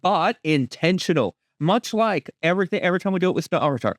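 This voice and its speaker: A man's voice: sits high, expressive, fast talker, no room sound, clean studio sound. A man chatting casually as a creator commenting on his show, often doubling back on his opening words. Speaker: creator giving episode commentary.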